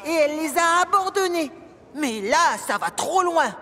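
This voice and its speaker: Cheerful boy engine, friendly, explaining, animated. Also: high-pitched, a little slow. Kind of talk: French cartoon dub.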